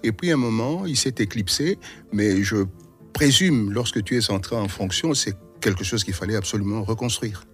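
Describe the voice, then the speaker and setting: A man's voice: on the low side, normal speed, fairly bright tone, soft background music. A male radio host asking long, searching questions in an interview. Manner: wordy, searching for words.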